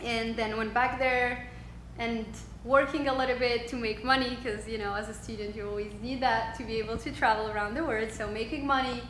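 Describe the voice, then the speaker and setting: A young woman, her voice set a little high. She talks hesitantly, with plenty of ums, and her speech rings in a roomy echo over faint background noise. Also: fairly even intonation, brisk, fairly bright tone. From Switzerland. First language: French. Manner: hesitant, many ums, frequent laughs. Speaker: guest, yoga instructor. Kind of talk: casual interview.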